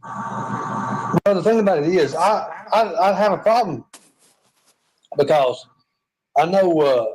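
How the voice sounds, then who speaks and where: A man's voice: sits high, animated, takes it slowly, pauses often, noisy background. A man with a Southern US accent, chatting and joking in a rambling, self-mocking way from a hospital room.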